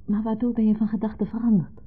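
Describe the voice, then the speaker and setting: An actress playing an insistent, probing wife in a radio play, questioning directly and persistently. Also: female voice, fairly high pitch, level tone, fast talker, breathy edge. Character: insistent, probing married woman hosting her former husband. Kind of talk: 1970s Dutch radio play acting. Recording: muffled, phone-like 1970s radio recording.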